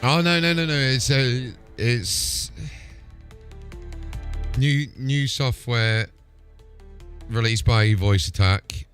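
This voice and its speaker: Man, low voice, matey informal streamer chat, sweary, music in the background. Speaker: game streamer. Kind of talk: casual live stream chat, troubleshooting software.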